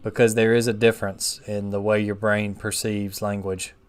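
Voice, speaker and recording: A man's voice: lowish voice, little pitch movement, normal speed. A man in his thirties chatting casually with rambling asides while playing a video game on stream. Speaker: gaming streamer. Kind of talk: casual let's play chat.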